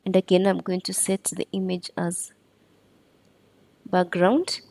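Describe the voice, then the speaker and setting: A woman's voice: lowish voice, level tone, measured pace, vocal fry, a little mellow, no room sound. A woman presenting a step-by-step screen tutorial in an instructional way.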